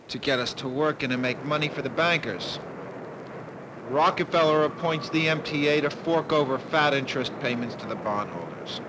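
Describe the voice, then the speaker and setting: American male narrator, noisy old newsreel. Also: a little high. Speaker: documentary narrator. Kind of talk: political documentary narration.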